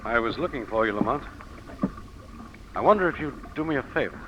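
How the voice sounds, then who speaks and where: A man's voice: mid-range voice, lively intonation, brisk, dull audio, natural voice. An actor playing a nervous but brave man facing death in an old-time radio drama, earnest, theatrical and apprehensive. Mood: resigned, somber. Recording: noisy old radio recording.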